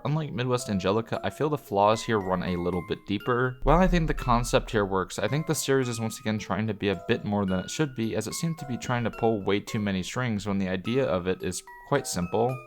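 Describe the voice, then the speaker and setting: A man delivering a scripted, opinionated review as a video host, earnest and measured. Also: fairly low pitch, lively intonation, faint music behind. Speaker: YouTube reviewer.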